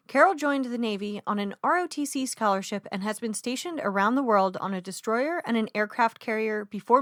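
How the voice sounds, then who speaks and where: A woman hosting a podcast, reading a prepared intro and asking measured interview questions. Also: on the high side, a bit bright, dry recording, studio quality. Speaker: podcast host.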